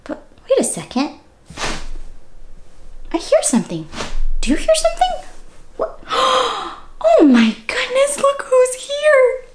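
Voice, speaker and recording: A woman's voice: very high voice, huge pitch swings, slow pace, pauses often, very noisy. A woman hosting an interactive read-aloud for young children, speaking directly to them with questions and exaggerated wonder. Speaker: children's story-time host and reader.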